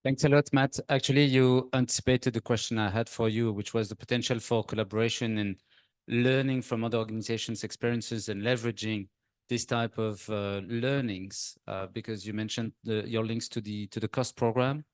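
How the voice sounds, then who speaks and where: A man moderating a conference panel, speaking spontaneously in a measured, courteous manner. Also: mid-range voice, fairly even intonation, dry recording, studio quality.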